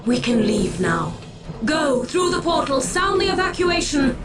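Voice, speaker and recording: An actress playing a solemn, commanding alien war seer in a video game, speaking gravely and formally with theatrical weight. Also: female voice, high-pitched, big pitch swings, a little slow, over music. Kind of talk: dramatic video game voice acting.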